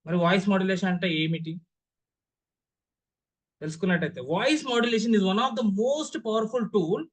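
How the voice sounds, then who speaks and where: A man's voice: high-pitched, unhurried. A young man with a Telugu accent lecturing an online class as a public speaking trainer, stressing key words and often checking that listeners follow with rhetorical questions.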